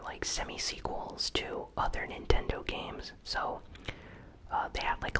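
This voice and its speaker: A host speaks entirely in a breathy whisper, presenting gaming news as ASMR. The delivery is casual and relaxed, with informal phrasing throughout. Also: extremely high pitch, low-quality recording.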